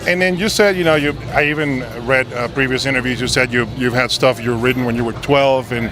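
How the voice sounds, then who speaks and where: A man's voice: mid-range voice, fairly even intonation, fairly quick. Male interviewer, crowd noise around. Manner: long winding questions. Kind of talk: interview questions.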